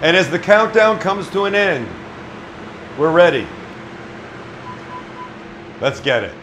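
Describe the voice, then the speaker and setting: A man's voice: on the high side, big pitch swings, takes it slowly, a bit bright, slight background noise. A middle-aged man hosting a casual cooking demonstration in a small restaurant kitchen, joking bluntly and swearing freely. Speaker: chef and cooking show host.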